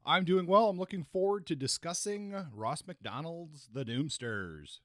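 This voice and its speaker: A man's voice: a little high, lively intonation, a little slow, dry recording, studio quality. A man co-hosting a podcast in a conversational book-discussion style. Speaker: podcast co-host.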